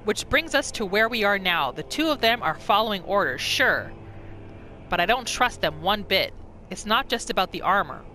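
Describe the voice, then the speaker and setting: A woman voicing a rough, callous bandit who tells a grudging story in slangy, menacing words, in a game roleplay. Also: medium pitch, sing-song, normal speed, sharp tone, slight background noise. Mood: menacing, distrustful. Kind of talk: in-character reading of a game journal.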